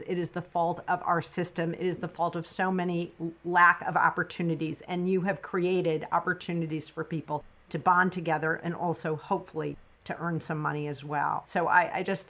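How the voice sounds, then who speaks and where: A woman's voice: lowish voice, a bit bright. A middle-aged woman hosting a podcast interview, chatty and affirming, with frequent likes and uhs. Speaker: podcast host.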